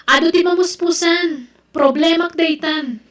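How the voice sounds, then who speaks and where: A woman's voice: very high-pitched, steady tone, measured pace. A woman with an Ilocano accent playing a proud, determined young student in an audio drama. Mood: stubborn, defiant. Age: young adult.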